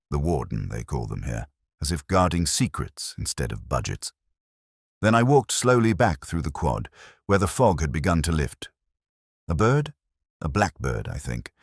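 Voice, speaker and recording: An actor playing a world-weary, literate older police inspector, narrating his case in a measured, wry, reflective way. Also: very deep voice, husky, no room sound, clean studio sound, exaggerated voice. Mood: brooding. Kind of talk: scripted first-person detective narration.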